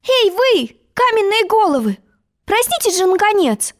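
A woman's voice: extremely high pitch, big pitch swings, a little slow, darkish tone, voice pushed up, full character voice. A woman voicing a spirited young girl in a storybook, bewildered and indignant, with emphatic, plaintive delivery. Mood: desperate.

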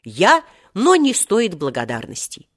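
Narrator doing a young schoolboy, raised pitch, cheeky, earnest, indignant, bookishly polite, children's storybook. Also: huge pitch swings, measured pace. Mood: modest pride. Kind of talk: acted children's storybook reading.

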